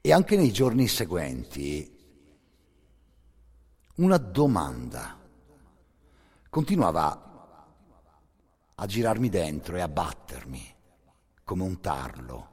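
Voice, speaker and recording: Male monologist for radio theatre: husky, intense storyteller, very wide swings in pitch, long hall reverb. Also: very animated, slow pace, pauses often. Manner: intense, theatrical storyteller, swelling from hushed to forceful.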